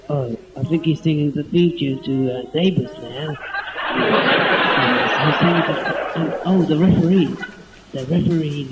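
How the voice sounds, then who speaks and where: A teenage boy voicing a bemused snooker commentator in an amateur spoof, measured and halting. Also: a little high, voice pushed down. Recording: dull, noisy lo-fi home tape.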